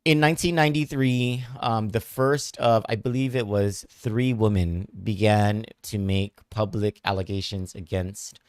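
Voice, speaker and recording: A man hosts a live news analysis show, fielding viewer questions. He speaks in a lowish voice, stopping and restarting often as he thinks things through. The sound is dry and close. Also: a little slow, few pauses, smooth, dry recording. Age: 40s. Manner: thoughtful, hesitant, many fillers and restarts.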